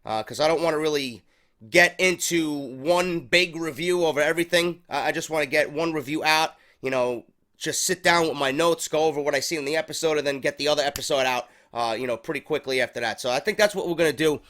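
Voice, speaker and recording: A man's voice: fairly high pitch, a little fast, fairly bright tone. Congested man hosting a wrestling review. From New York. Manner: profane, rambling, unscripted. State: congested head cold. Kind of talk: casual spoken show review.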